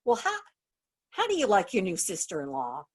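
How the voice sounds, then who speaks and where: A woman playing a gently nagging, coaxing small-town mother in an old-time radio play. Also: mid-range voice, huge pitch swings, normal speed, breathy edge. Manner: coaxing, direct.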